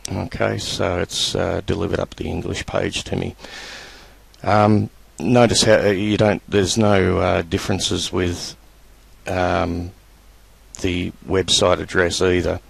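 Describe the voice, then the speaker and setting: Male tutorial presenter, husky voice, dry close-mic sound, casual and hesitant delivery. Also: low-pitched, dry recording, poor audio. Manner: relaxed, halting, many fillers. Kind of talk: screen-recorded software tutorial.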